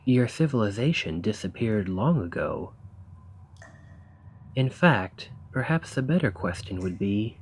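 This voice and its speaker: A man acts out an eager scholar of ancient civilizations for an audio roleplay. He speaks a little slowly in a fairly low, husky voice. His phrasing is formal and courteous, with bookish hesitations. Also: very animated, exaggerated voice. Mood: fascinated, polite. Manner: formal, bookish, polite, halting. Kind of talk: scripted ASMR roleplay acting.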